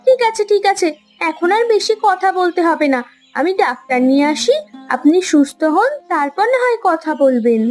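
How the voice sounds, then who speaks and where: A woman playing a kind, outspoken young city woman in a cartoon, caring and brisk. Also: very high voice, very animated, darkish tone, faint music behind. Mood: reassuring. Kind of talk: Bengali cartoon story acting.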